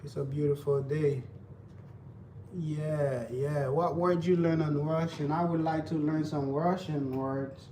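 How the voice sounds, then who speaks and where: A man's voice: fairly high pitch, fairly even intonation, takes it slowly, mellow. A young man with a Haitian Creole accent, chatting loosely with viewers while he cooks, repeating himself often. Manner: loose, repetitive, talks to viewers while cooking. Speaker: cooking vlogger. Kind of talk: casual live cooking chat. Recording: distant mic with faint background noise.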